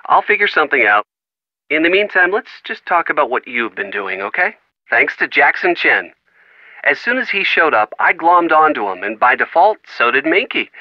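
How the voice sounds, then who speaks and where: An actor playing an affable, wry young American boyfriend in a video game, with a conversational delivery. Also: male voice, a little high, no room sound, muffled recording, natural voice. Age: young adult. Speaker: boyfriend on the phone.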